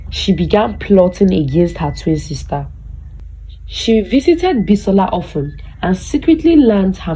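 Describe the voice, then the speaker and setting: A woman storyteller narrates a dramatic folktale at a slow, deliberate pace. Her voice is mellow and slightly low, and she lays out each scripted phrase with measured care. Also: breathy edge, poor audio.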